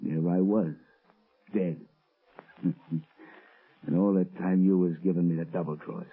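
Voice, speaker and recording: A man's voice: low-pitched, steady tone, measured pace, husky. An actor playing a menacing, mocking crook with sneering, slangy tough-guy talk in a 1930s radio crime drama. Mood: gloating. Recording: muffled, tinny old radio recording.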